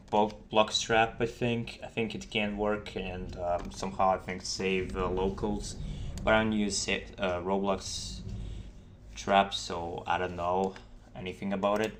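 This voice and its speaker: Slow, low-voiced man giving a halting tutorial. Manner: halting, hesitant explaining with many pauses. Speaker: tutorial narrator for game mods. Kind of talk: casual software tutorial. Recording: noisy desk mic, keyboard clicks. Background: keyboard typing and mouse clicks.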